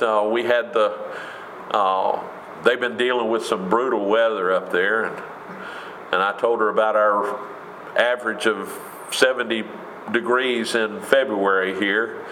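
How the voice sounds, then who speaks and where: A man with a big booming voice preaching a sermon in an emphatic, conversational way, with rhetorical questions. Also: pushed and bright, a little hiss or hum. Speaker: pastor. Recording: echoey room with faint ambience.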